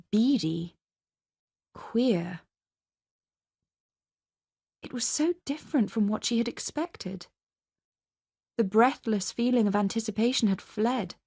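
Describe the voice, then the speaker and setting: A woman's voice: fairly high pitch, dark tone. British woman reading dramatic fiction. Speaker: story reader. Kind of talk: dramatic literary narration for radio.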